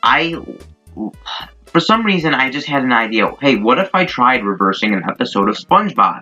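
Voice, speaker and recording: Bright-voiced young man, casual storytime. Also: fairly low pitch. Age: young adult. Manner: rambling, informal, mildly profane. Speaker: YouTuber telling a story about the channel. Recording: dull lo-fi mic. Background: background music.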